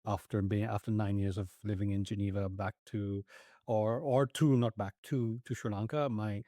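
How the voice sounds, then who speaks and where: Reflective middle-aged man, low mellow voice. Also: steady tone, moderate pace, no echo, clean studio sound. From Nigeria, Ethiopia and the UK. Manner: reflective, with frequent restarts and fillers. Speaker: guest. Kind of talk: conversational interview.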